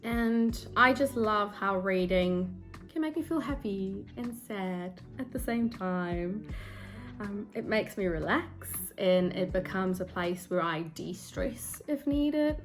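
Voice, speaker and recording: Young South African woman, Afrikaans first language, chatty and self-deprecating, mid-pitched voice. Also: animated, measured pace, soft background music. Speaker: book vlogger. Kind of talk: casual chat to camera. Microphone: phone or camera mic at desk.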